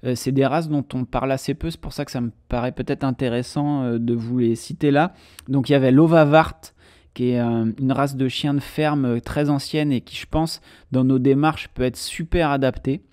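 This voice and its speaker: A man talks alone on his podcast in a relaxed, unhurried way, often pausing on fillers as he explains. His voice is mellow and a little dark. The sound is close and dry, with no room echo. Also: medium pitch. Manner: hesitant, many "euh" fillers, relaxed explaining. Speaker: podcast host. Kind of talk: casual solo podcast monologue.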